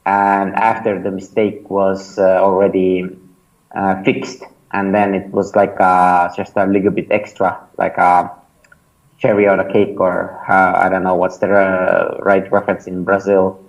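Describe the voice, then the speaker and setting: A man's voice: low voice, level tone, a little slow, dull audio. A man in his thirties with an Estonian accent, whose first language is Estonian. He answers an interview casually and hesitantly, with many fillers and restarts. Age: 30s. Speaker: startup founder and mentor, interview guest.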